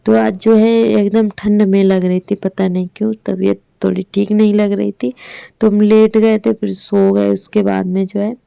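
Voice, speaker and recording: Hoarse woman vlogger in her thirties, Hindi accent, gravelly, flat delivery, dry close sound. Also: medium pitch, normal speed, dry recording. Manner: rambling, with many fillers. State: hoarse from a sore throat. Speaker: village vlogger narrating her day. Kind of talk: casual voice-over narration.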